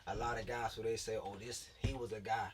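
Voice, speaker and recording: A man's voice: fairly low pitch, flat delivery, brisk, recorded from a distance, a little hiss or hum. A man in his late twenties, a former football player turned coach, telling his story in loose casual talk, full of fillers and restarts. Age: late 20s.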